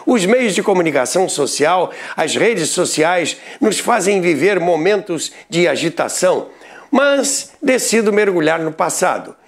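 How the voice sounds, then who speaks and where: Older man, Brazilian Portuguese accent, history show host, scripted narration, lively swinging pitch, emphatic. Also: measured pace. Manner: expressive, emphatic delivery.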